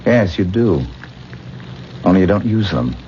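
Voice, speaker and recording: A dull, slightly noisy vintage radio drama sound carries an intense mystic stage star. His deep voice is theatrical and grandiloquent, sweeping widely in pitch. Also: lively intonation, unhurried, dark tone. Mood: quietly sure. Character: intense, mystical great stage actor, convinced of psychic powers. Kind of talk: 1970s radio drama acting. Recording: muffled, noisy 1970s radio broadcast.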